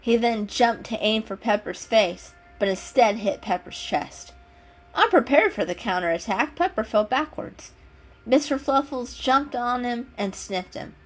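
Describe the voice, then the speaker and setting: A woman narrates a children's animal story as a dramatic reading. Her delivery is expressive, and music plays behind her voice. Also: a little high, measured pace, poor audio. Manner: expressive, acts out the dialogue. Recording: music under the voice. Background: background music.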